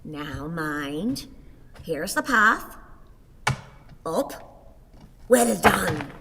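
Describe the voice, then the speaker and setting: An actress playing a fretful, long-suffering old wife in a radio play, fussing and pleading in a theatrical way. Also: female voice, fairly low pitch, very animated, drawn-out words, creaky, noisy background, heavily acted. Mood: fussing, soothing. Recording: reverberant hall.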